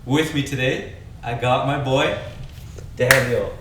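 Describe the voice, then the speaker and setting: A relaxed young man from Austria hosts a casual podcast, chatting in an easygoing, slangy way. His mid-pitched voice rings around a very echoey room. He sits a little back from the microphone, with a faint hiss underneath. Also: slow pace, distant mic. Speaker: podcast host.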